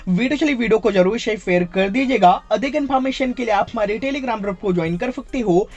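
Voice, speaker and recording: A man's voice: sits high, brisk, a little mellow, highs cut off. Man narrating a casual phone tutorial. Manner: mixes English phrases into speech. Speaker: tech channel host.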